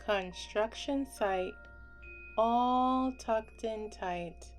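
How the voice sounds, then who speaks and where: Very slow-paced woman reading a bedtime story. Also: on the high side, faint music behind, poor audio. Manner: gentle, deliberate phrasing with hushed goodnights. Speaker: children's book read-aloud host. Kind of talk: slow, expressive bedtime picture-book reading.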